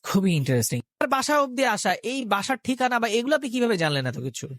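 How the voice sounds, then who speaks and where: A woman's voice: on the high side, sing-song, fast, no echo, clean studio sound. A woman with a Bangladeshi accent interviewing conversationally as a show host, recapping and asking probing questions.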